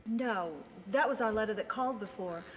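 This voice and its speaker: A woman's voice: a little high, normal speed, lightly acted. A woman playing a matter-of-fact small-town witness in a film, speaking plainly and naturally. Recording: echoing hall, faint background noise.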